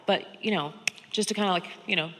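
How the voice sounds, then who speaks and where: A woman's voice: medium pitch, fast talker, noisy background. A woman in her thirties from Georgia with an American accent, sharing personal stories candidly and conversationally as a seminar speaker. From Georgia, United States. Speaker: seminar speaker and sex therapist. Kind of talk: informal seminar talk, personal storytelling. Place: on stage in an auditorium, seated at a high table. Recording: echoey hall, stage sound.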